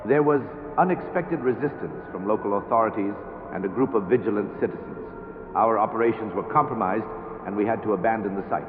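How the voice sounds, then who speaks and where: A man's voice: on the low side, brisk, lightly acted. A man playing a cold, menacing commandant. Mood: reporting. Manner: cold, calm, threatening. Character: menacing male government commandant villain. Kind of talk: radio drama acting. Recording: tinny lo-fi with noise.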